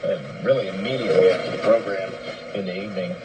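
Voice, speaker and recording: A man hosting a casual radio station talk show, speaking in a measured, halting way. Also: deep voice. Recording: noisy shortwave radio broadcast.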